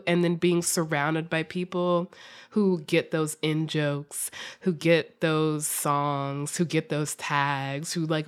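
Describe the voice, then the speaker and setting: A woman's voice: low-pitched, clear, resonant tone. A woman hosting a podcast in a casual, conversational interview style, informal and a little wry. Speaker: podcast host.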